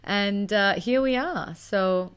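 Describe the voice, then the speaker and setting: A woman's voice: medium pitch, slow pace, clean tone. A young woman from Australia, a podcast guest, chatting in a self-mocking way and laughing often. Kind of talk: casual chat.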